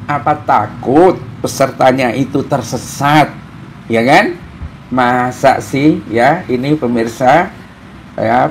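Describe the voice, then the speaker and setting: A man's voice: medium pitch, slight background noise. A man giving informal, mocking political commentary to viewers, full of rhetorical questions and tag questions. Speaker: commentator hosting an opinion show.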